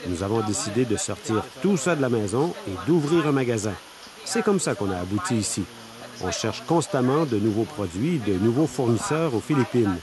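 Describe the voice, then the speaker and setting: A man reading a voice-over translation of a shop owner, lively and explanatory. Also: mid-range voice, normal speed, crowd noise. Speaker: interpreter voicing a shop owner.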